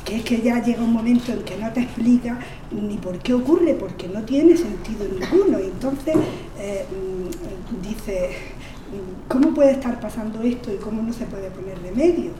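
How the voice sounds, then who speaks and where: A woman from Spain reciting poems at a community reading, hesitant in her introductions and slow and declaiming in the verses. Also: on the high side, expressive, roomy sound, far from the mic, noisy background. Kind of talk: poetry recitation with spoken introductions.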